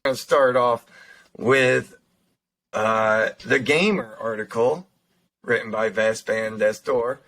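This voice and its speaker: A young man with a slightly raspy voice co-hosts a casual podcast, talking his way through stories with frequent fillers and restarts. His pitch sits in an ordinary middle range. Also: fairly bright tone. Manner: halting, lots of fillers and restarts. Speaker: podcast co-host. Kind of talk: casual chat, reading news articles.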